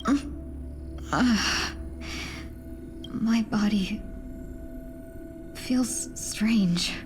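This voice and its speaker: A woman playing a frail, polite young merchant who is gravely wounded, speaking hesitantly and weakly in a game cutscene. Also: medium pitch, drawn-out words. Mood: dazed, weak. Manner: hesitant, weak, breathy sighs. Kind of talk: dramatic video game cutscene dialogue. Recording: music under the voice.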